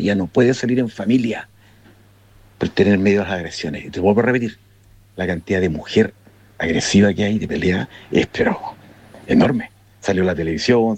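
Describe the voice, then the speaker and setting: A man's voice: on the low side, a little mellow, slightly rough, no room sound. A man with a Chilean accent giving his opinion informally to a show, in a colloquial, emphatic and repetitive way.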